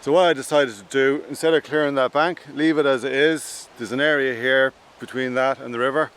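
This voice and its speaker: Irish farmer talking plainly outdoors. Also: male voice, mid-range voice, clear, resonant tone. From Ireland. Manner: relaxed, plain-spoken, with frequent "uh" pauses. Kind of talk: documentary talk to camera. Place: outdoors on a farm.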